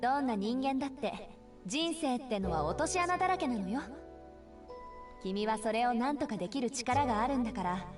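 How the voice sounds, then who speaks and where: A woman playing a brisk, firm mentor who lectures in a Japanese visual novel. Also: a little high, animated, close to an everyday voice. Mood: admonishing. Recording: distant game audio under loud music. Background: music.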